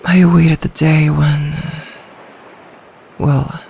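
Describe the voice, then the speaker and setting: Slow, possessive sea dragon voiced by a woman. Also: low-pitched, level tone, vocal fry, slight background noise, exaggerated voice. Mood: brooding, possessive. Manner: soft, languid, regal, doting with quiet menace. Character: lonely, possessive, ancient female sea dragon, tender yet menacing. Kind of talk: ASMR roleplay, slow intimate acting.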